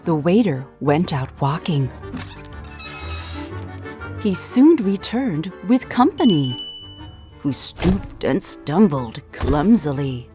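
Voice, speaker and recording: A woman reading a children's picture book aloud as storyteller, in a theatrical, deliberate and expressive way. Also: low-pitched, music in the background.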